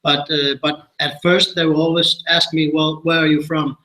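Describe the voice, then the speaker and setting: A man in his thirties with a Danish accent and a bright, slightly high voice. He presents casually on a webinar, halting as he searches for words. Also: flat delivery, rough recording. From Denmark. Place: small office meeting room.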